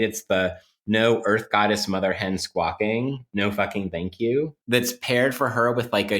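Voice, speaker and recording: A man's voice: lowish voice, fairly bright tone. A man hosting a podcast, chatting casually about ideas in an informal, sweary way peppered with "like" and "right?". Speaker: podcast host. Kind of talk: casual intellectual podcast chat.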